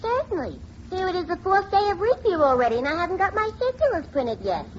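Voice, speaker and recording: A woman's voice: very high-pitched, big pitch swings, quick pace. An actress playing a brassy, literal-minded, man-hungry young lady of a tavern in 1940s radio comedy style. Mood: haughty, busy. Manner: self-assured, literal-minded. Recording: dull vintage radio, faint noise.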